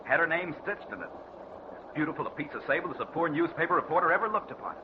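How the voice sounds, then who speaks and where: An actor playing a brash, slangy newspaper reporter in an old radio drama. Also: male voice, fairly high pitch, sing-song, fast. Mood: brash, assured. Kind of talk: 1940s radio drama acting. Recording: muffled, hissy old radio recording.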